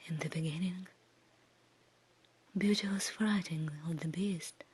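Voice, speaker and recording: Whispering woman reading a slow bedtime story. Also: lowish voice, fairly even intonation. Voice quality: breathy, soft whisper. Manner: slow, hushed, soothing delivery. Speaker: ASMR storyteller. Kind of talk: whispered ASMR bedtime fairy tale reading.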